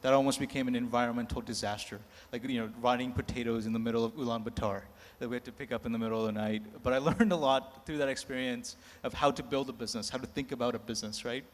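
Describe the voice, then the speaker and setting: A man's voice: medium pitch, fairly even intonation, fast talker, few pauses. Candid man in an echoing hall. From United States. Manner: candid, self-mocking, laughs between lines. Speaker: panelist. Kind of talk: candid anecdotal storytelling. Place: large conference hall. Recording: echoey hall reverb.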